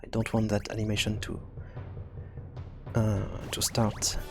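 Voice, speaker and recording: A man's voice: on the low side, completely flat delivery, over music. Man thinking aloud in a coding tutorial. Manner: halting, thinking aloud with repeated words. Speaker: tutorial host and game developer. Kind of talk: casual screencast programming tutorial.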